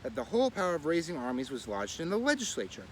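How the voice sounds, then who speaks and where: A man reads a historical essay aloud with a lively, rising-and-falling delivery, his voice sitting a little high for a man. He keeps a measured, oratorical pace, now and then tripping over a word and correcting himself. Clear traffic and street noise runs behind him outdoors. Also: poor audio. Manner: measured oratorical reading with occasional stumbles and self-corrections. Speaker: reader of a daily series.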